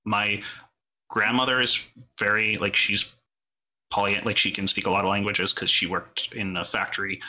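A man from Canada, a native Portuguese speaker, chatting casually as a podcast guest in a rambling way, full of filler words and quick laughs. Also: fairly low pitch, level tone, sharp tone, low-quality recording. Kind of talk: casual interview chat.

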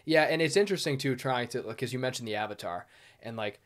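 A man's voice: mid-range voice, quick pace. A man in a casual podcast conversation, telling anecdotes and acting out phone exchanges as he goes.

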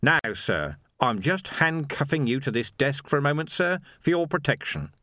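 A man voicing a menacing, sardonic police sergeant in an audiobook, deliberate and mock-polite. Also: fairly high pitch, very animated, pushed and bright, no echo, clean studio sound, voice pushed up. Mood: mock courtesy. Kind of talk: audiobook character voice.

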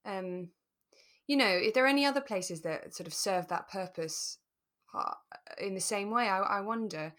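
British woman, relaxed and expressive. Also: mid-range voice, moderate pace. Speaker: podcast co-host and actor. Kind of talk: relaxed chat about a radio drama.

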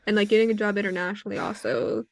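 A young woman with a gravelly, creaky voice chats casually with a friend. She talks in a loose, hesitant way full of fillers, and the recording is dry and close. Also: medium pitch, animated, racing, dry recording. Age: young adult. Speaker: podcast co-host. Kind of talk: casual chat.

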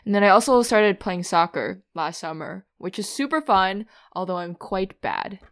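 A woman's voice: mid-range voice, lively intonation, normal speed. Wry young woman co-hosting. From Minnesota. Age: 20s. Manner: wry, dry teasing humor. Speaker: podcast co-host. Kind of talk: casual chat.

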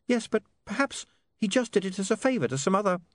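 A man plays a concerned young woman in a storybook. She is polite, hesitant and full of questions, with a high, swooping voice. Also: sing-song, fast, no room sound. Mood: concerned, questioning.

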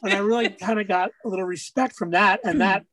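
A man with a raspy speaking voice, a musician guest on an interview, talking casually and rambling through stories with lots of fillers. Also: sits high, fairly even intonation, fast, fairly bright tone, no echo. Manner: rambling storyteller, many fillers.

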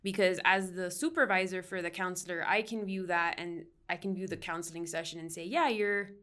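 This is a woman from Miami with a slightly low, bright voice that sounds smooth and clear. She speaks as a counseling professor in a podcast interview. She talks in a loose, explanatory way, with "um" and "like" dropping in along the way. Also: steady tone, fairly quick. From Miami, United States. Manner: explanatory, with frequent "like" and "um" fillers.

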